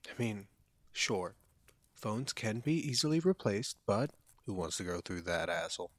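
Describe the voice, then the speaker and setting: A man's voice: fairly low pitch, sing-song, a little slow, no echo. A man playing a caring, protective young college friend in an intimate, one-sided audio roleplay, speaking in an earnest, conversational way. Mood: teasing.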